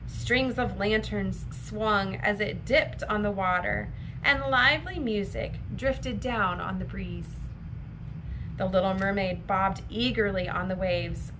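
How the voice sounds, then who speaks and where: Female storytime reader, unhurried and deliberate, mid-pitched, expressive for young listeners, with clear background noise. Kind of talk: expressive storybook reading for children.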